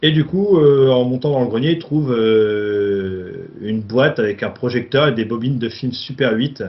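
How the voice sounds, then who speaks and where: A man's voice: medium pitch, normal speed. A man co-hosting a casual film discussion, summarizing a plot with many hesitations and fillers. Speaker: film podcast co-host. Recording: dull lo-fi sound.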